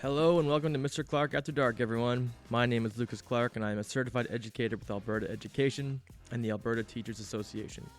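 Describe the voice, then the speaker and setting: A young man hosts a podcast in an even, mid-pitched voice. He reads the show's opening with music clearly behind him, then talks loosely with his guest. He nods along out loud with quick short agreements. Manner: agreeable, frequent short affirmations. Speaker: podcast host. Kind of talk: scripted intro, then casual interview chat.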